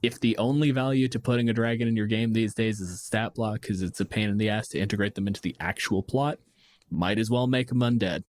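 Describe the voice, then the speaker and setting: Low-voiced, casually sweary man, close dry sound. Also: fairly even intonation, fairly quick, a little mellow, no echo. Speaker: podcast co-host. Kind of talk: casual discussion of tabletop game design.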